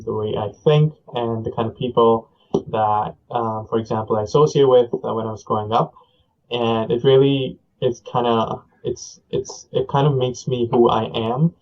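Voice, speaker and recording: A man's voice: medium pitch, fairly even intonation, moderate pace, darkish tone. A young man from India whose first language is Punjabi, speaking as a podcast guest in a thoughtful way, with many fillers and restarts. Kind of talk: conversational interview. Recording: dull lo-fi audio.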